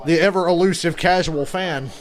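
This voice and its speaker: A man's voice: a little high, fairly even intonation, moderate pace. A man in his twenties chatting casually and profanely as he reacts live to a race broadcast. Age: 20s. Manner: joking, profane, talks loosely over the broadcast.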